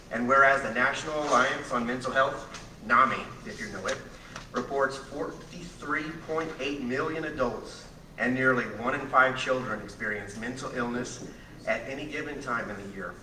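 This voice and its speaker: Man projecting loudly across an echoey courtroom. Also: medium pitch, recorded from a distance, a little hiss or hum. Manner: loud projecting voice, speaking without a microphone. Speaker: community presenter reading a proclamation. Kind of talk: formal proclamation reading. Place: county courtroom. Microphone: room microphone from across the room. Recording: echoey courtroom picked up from afar.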